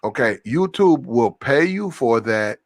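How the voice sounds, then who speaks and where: A man's voice: medium pitch, lively intonation, a little slow, dry recording. Man, panelist, slangy and reassuring explainer, dry headset sound. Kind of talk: casual online panel chat. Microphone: headphones with mic.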